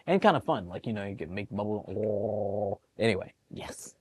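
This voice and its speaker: A man's voice: lowish voice, moderate pace, breathy edge. A man reading a picture book aloud to young children, with chatty, encouraging asides about the pictures. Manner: animated, encouraging, explains pictures to young listeners. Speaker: children's storytime reader at a public library.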